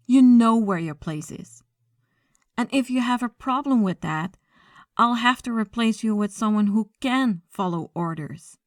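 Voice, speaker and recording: A woman playing a commanding, coolly threatening female mafia boss in an audio roleplay, speaking deliberately and with authority. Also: medium pitch, no echo, studio quality, natural voice. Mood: stern, menacing. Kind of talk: ASMR audio roleplay acting.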